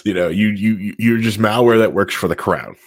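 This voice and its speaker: A man's voice: lowish voice, brisk, no room sound, studio quality. A man chatting casually about gaming as a podcast co-host, opinionated and emphatic, often repeating himself to make a point.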